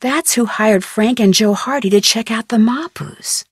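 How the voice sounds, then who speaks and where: An inquisitive young female sleuth from an adventure video game, with an American accent. Her voice sits fairly high and is recorded dry and close. She is polite but persistent, with earnest, expressive delivery. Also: measured pace, slightly rough, no room sound. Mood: intrigued. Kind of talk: scripted adventure game dialogue.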